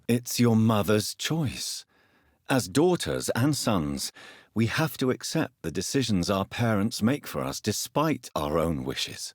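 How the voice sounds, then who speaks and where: An actor playing a grave, refined British doctor turned vampire in a video game, speaking formally with measured, precise diction. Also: male voice, fairly low pitch, breathy edge, dry recording, clean studio sound, heavily acted. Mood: inquiring, measured. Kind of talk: video game character dialogue.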